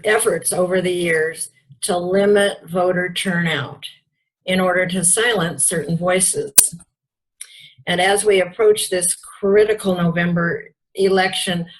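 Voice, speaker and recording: A woman giving a prepared, formal speech to a congregation in a measured manner, as a committee speaker. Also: mid-range voice, poor audio. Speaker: social justice committee speaker.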